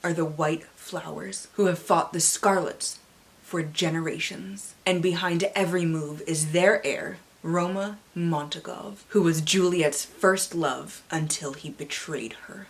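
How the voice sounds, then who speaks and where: A North American woman hosting a book vlog in a playful, theatrical manner, mixing casual chat with dramatic readings and asides. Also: fairly low pitch. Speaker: book channel host. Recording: clearly audible background hiss.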